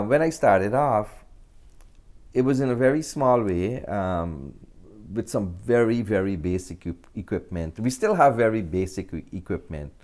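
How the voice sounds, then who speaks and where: A man's voice: lowish voice, darkish tone. A middle-aged man with a Guyanese accent, talking earnestly and reflectively as an interview guest. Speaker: film director and actor, interview guest.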